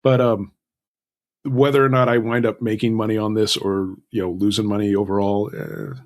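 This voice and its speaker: A man's voice: fairly low pitch, little pitch movement, moderate pace. A man talking casually as an interview guest, telling stories in a self-deprecating way with acted-out asides. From Southern California. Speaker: guest author.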